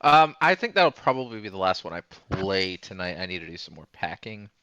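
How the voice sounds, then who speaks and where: A man's voice: low-pitched, big pitch swings, a little fast, pushed and bright, smooth, dry recording, muffled recording. A tired man who stammers and restarts while chatting casually as a game player. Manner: stammering, self-deprecating.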